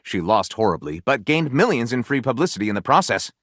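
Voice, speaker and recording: A man voicing a flamboyant, theatrical storyteller for a video game, moving between grandiose narration and gushing excitement. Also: mid-range voice, fast talker, no echo, studio quality. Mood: grandiose, dramatic. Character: flamboyant, theatrical storyteller co-writing lurid pulp novels.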